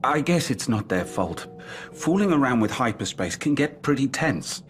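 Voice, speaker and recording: A man's voice: medium pitch, very animated, normal speed, a bit bright, soft background music. A man playing an irritable research scientist under questioning in a radio drama, defensive and exasperated. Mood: defensive, irritated.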